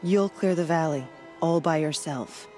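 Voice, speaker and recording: A woman's voice: low voice, a little slow, dark tone. A proud female war chief in a game cutscene. She is stern and weary but steadfast, with music playing behind her. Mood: skeptical, firm. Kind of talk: video game cutscene acting.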